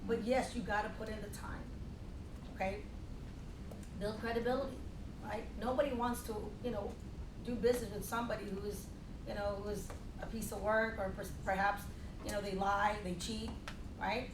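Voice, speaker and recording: A woman's voice: steady tone, normal speed. Filipino-accented woman, echoey and far off. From Philippines. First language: a Philippine language. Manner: blunt, direct, peppers lines with "right?" and "okay?". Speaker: financial professional giving a training talk. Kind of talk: motivational training talk to a group. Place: meeting room. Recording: echoey room, voice from far off, rumbling background noise.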